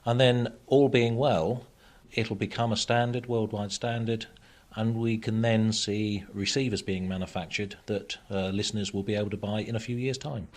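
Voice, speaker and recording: Male technical expert, slightly low pitch, measured and factual interview delivery. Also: fairly even intonation. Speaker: radio technology expert guest. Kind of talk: explanatory interview answers.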